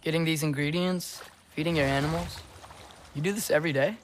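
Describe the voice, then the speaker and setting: A man's voice: a little high, fairly even intonation, brisk, a little hiss or hum, lightly acted. A young male actor playing an earnest, curious, slightly awkward teenage boy in a video game, talking casually and hesitantly. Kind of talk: naturalistic video game acting.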